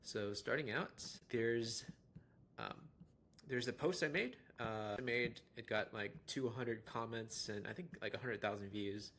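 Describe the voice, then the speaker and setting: A man's voice: mid-range voice, a little slow, fairly bright tone, a little hiss or hum. A young adult man with a General American accent, talking casually to camera and rambling through fillers and restarts. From United States. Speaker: dating vlogger. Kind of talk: casual talking-head monologue.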